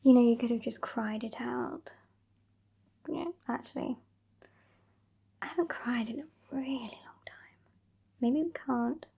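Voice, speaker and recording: Woman playing a whispery, slow, sassy British vampire. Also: on the high side, steady tone, mellow, heavily acted. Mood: exasperated, disdainful. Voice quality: breathy, whispery. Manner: slow, condescending, withering. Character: sassy, haughty, impatient female vampire scolding her weakling fledgling. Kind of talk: ASMR roleplay, whispered and soft-spoken.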